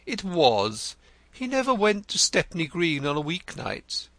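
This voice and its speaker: A man's voice: on the high side, big pitch swings, unhurried, fairly bright tone, dry recording, voice pushed up, heavily acted. A man voicing a vain, theatrical male poet in an audiobook, florid and gushing, stammering when pressed. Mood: effusive. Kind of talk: audiobook character reading.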